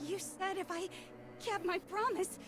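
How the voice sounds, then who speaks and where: A woman's voice: very high-pitched, fairly even intonation, unhurried, a bit bright, faint music behind. A woman playing a gloomy, bitter teenage girl who sounds plaintive and betrayed, in a dramatic video game dub. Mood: hurt, bitter.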